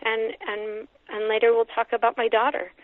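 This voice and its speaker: A woman from Canada, a guest author, talking over the phone in a soft, reflective way, with many pauses and fillers. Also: fairly high pitch, completely flat delivery, dry recording. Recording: tinny phone line.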